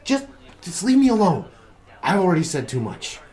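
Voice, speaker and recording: A man's voice: sits high, big pitch swings, normal speed, darkish tone. An actor playing a nervous, defensive young college man who stammers and hesitates, in a noir radio drama.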